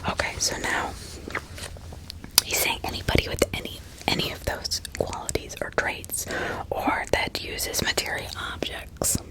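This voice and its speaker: Slow whispering woman, ASMR narration. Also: poor audio. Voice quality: breathy, unvoiced whisper. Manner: slow, deliberate, pausing mid-sentence. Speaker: ASMR host. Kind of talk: whispered ASMR true-crime narration with asides.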